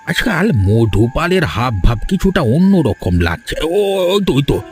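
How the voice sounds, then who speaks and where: A man's voice: medium pitch, a little hiss or hum, lightly acted. A man voicing a secretive old villager who prowls at night, musing to himself in a cartoon monologue.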